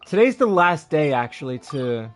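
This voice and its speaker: A man's voice: fairly high pitch, big pitch swings, normal speed. A man chatting casually on a game livestream, rambling and repeating his phrases as he goes. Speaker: game streamer. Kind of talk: casual livestream chat over gameplay. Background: game music.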